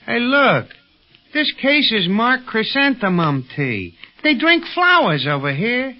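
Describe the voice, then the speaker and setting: A man's voice: extremely high pitch, big pitch swings, slow pace, exaggerated voice. A man playing a grumbling, wisecracking sidekick in a 1930s radio adventure serial. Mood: brisk. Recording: dull old radio recording with music.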